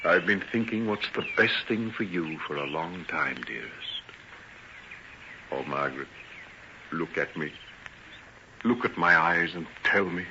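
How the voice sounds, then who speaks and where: An actor playing an ardent, tormented, wryly teasing doctor in an old-time radio drama, with theatrical, genteel diction. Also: male voice, sits low, lively intonation, a little slow, pauses often. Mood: anguished, tender. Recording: dull, faintly noisy vintage radio recording.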